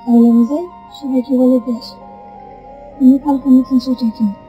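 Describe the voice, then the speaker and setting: A woman's voice: high-pitched, fairly even intonation, a little fast, dark tone, close to an everyday voice. A woman voicing a sulky, pleading little boy in a cartoon, whiny and insistent. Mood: excited. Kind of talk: cartoon voice acting. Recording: muffled, music bed behind. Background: background music.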